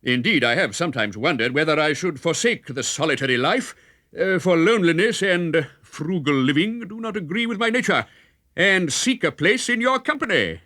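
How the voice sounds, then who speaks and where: A man's voice: a little high, lively intonation, normal speed, sharp tone, dry recording, clean studio sound, pitched above the performer's own voice. A man voicing a huge, blustering friar in a children's storybook, pompous and good-humoured, puffing between phrases. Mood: admiring, puffed. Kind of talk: children's storybook character reading.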